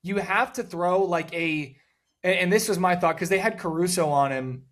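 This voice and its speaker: A man co-hosting a casual sports talk show, rambling and repeating words, with a loud voice that cuts through noise. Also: high voice, normal speed. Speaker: podcast co-host.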